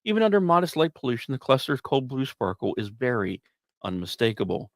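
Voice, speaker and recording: A man presents science news as a podcast host, reading from a script but slipping into casual, wry asides. His mid-pitched voice swings widely with theatrical emphasis. The sound is dry, with very little room in it. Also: sing-song, a little fast, no room sound. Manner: wry, self-deprecating humor.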